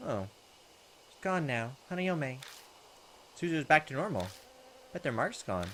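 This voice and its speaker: A man's voice: on the high side, very animated, measured pace, a bit bright, slight background noise. A man giving casual, chatty commentary on a video game, reading its text aloud and talking back to it. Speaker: gaming video host. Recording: music behind the voice. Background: video game music.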